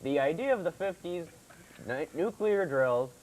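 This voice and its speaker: A man hosting a comedy puppet segment, talking haltingly as he banters and tries to keep a straight face. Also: fairly high pitch, animated, a little mellow. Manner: halting, breaks into chuckles. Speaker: host. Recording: noisy amateur TV recording.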